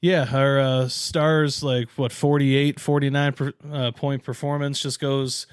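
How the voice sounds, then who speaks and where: A man's voice: mid-range voice, level tone, measured pace, clean tone, no room sound, clean studio sound. A man co-hosting a podcast, venting in a profane, frustrated rant during casual sports chat. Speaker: podcast co-host.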